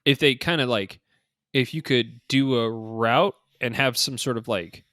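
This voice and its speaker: A man co-hosts a casual podcast about gaming in an informal, slangy voice. He speculates as he goes, hedging his points. His voice is mid-pitched and recorded close and dry. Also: measured pace, bright, forceful tone, no echo. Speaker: podcast host. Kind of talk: casual two-person podcast discussion of a mobile game.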